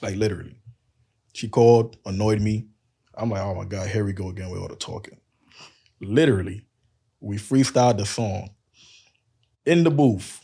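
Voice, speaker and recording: A man with a deep voice and a lisp, telling loose stories on a casual podcast and acting out quoted lines. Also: normal speed, creaky, pauses often, warm tone, slightly rough. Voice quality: says he has a lisp. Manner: loose storytelling, acts out quotes, laughs often. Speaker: podcast cohost.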